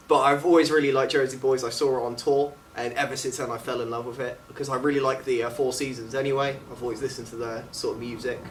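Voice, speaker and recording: A man, a student actor chatting casually in an interview. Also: mid-range voice. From England. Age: late teens. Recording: distant mic with faint background noise.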